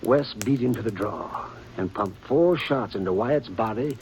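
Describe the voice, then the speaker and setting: A gabby old printer. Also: male voice, lowish voice, animated, unhurried, background noise. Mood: gossipy. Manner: folksy, gabby drawl. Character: chatty old male printer, gossipy. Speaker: small-town newspaper printer. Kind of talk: 1950s radio drama acting.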